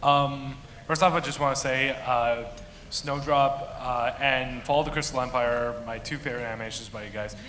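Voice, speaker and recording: A man's voice: medium pitch, pushed and bright, a little hiss or hum. A man in the audience asking an eager, hesitant question. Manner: enthusiastic, hesitant fillers. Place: large convention hall. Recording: echoey hall with long reverb.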